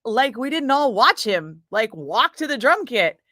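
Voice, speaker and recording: A middle-aged woman radio DJ chatting casually with viewers between breaks, profane and quick to laugh. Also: on the high side, big pitch swings, bright, forceful tone, dry recording, studio quality. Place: radio studio.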